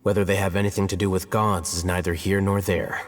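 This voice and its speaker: An actor playing a composed, aloof, intellectual scholar in a video game, speaking in a calm, dry, cerebral way. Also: male voice, sits low, a little fast, lightly acted. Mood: detached, dry. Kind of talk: video game character acting. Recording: long reverb, faint music behind.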